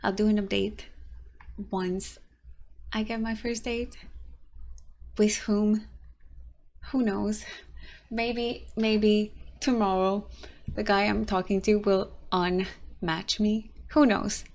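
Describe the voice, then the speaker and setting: A young woman with a raspy, tired voice, talking casually to her camera in a rambling, halting vlog. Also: mid-range voice, flat delivery. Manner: rambling, halting, frequent restarts and soft laughs. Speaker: vlogger.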